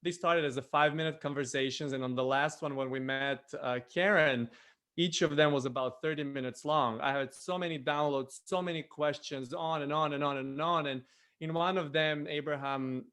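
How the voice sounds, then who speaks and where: A man's voice: on the high side, fairly even intonation, moderate pace, a bit bright, clean tone. Serbian man telling his story on a video call. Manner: hesitant, many fillers and restarts. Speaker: presenter on a spiritual show. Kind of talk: casual personal storytelling. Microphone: computer mic on a video call.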